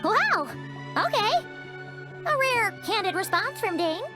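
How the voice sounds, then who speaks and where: A woman's voice: extremely high pitch, big pitch swings, slow, sharp tone, faint music behind, heavily acted. A woman voicing a tiny, childlike fairy companion in a video game, bubbly, chatty and cheeky, with exaggerated delivery. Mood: curious, excited. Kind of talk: animated video game voice acting.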